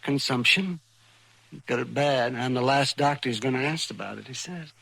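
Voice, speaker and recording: An actor playing a crusty older American frontier doctor, muttering and rambling, in an old radio western. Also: male voice, mid-range voice, normal speed, vocal fry, a bit bright, poor audio. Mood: somber. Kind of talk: 1950s American radio drama acting.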